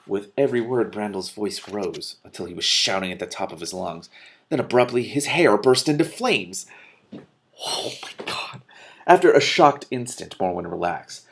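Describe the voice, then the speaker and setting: A man's voice: lowish voice, lively intonation, moderate pace, vocal fry, fairly bright tone. A man reading a book aloud expressively, with informal, enthusiastic commentary. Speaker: reader and commentator.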